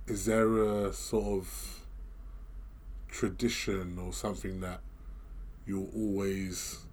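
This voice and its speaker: A man's voice: low-pitched, little pitch movement, slow pace, darkish tone, slight background noise. Laid-back young male podcast host. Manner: laid-back slangy talk. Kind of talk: casual interview and show outro.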